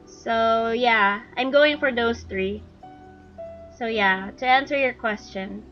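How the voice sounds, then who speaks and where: A woman's voice: fairly high pitch, slow, fairly bright tone, dull audio, soft background music. A woman game streamer chatting casually on a live stream, giving short reactive remarks.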